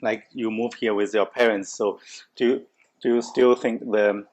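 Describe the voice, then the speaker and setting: A man hosting a casual chat over a meal at a restaurant table, talking in halting phrases with many restarts. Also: lowish voice, fairly even intonation, a little mellow. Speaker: host.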